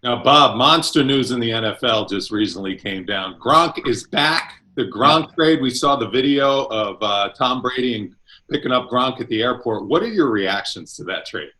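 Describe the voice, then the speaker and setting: A man with a bright, forceful voice at medium pitch hosts a sports show. He presents the news and puts questions to his guest. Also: animated, moderate pace, rough recording.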